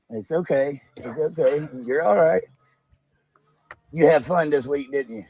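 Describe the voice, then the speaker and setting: A man in a played clip gently coaxing and teasing someone with soft questions. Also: a little high, slow pace, a little hiss or hum. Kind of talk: coaxing talk to someone nearby.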